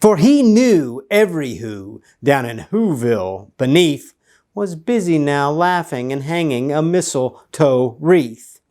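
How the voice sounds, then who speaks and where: A man reads a storybook aloud for children. His delivery is slow and theatrical, with big swings in pitch and playful, stretched-out emphasis on key words. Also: sing-song, darkish tone.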